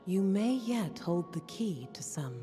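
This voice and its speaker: A woman voicing a cool, calculating mature alchemist in a video game, measured and formal. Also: mid-range voice, sing-song. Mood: coolly curious. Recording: long reverb, music underneath.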